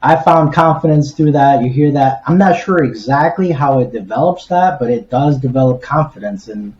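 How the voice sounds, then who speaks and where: A man hosts a casual interview in a mellow, dark-toned voice. His audio sounds muffled and lo-fi, with plenty of room echo around his words. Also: mid-range voice, normal speed, slight background noise. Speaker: podcast host, interviewer. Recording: roomy, dull lo-fi audio.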